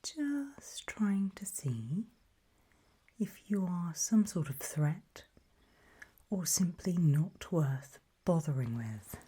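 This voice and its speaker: Breathy, slow whispering woman. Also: lowish voice, sing-song. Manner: slow, deliberate, hushed, pausing between short phrases. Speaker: ASMR roleplay host. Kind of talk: whispered ASMR roleplay narration, guiding the listener.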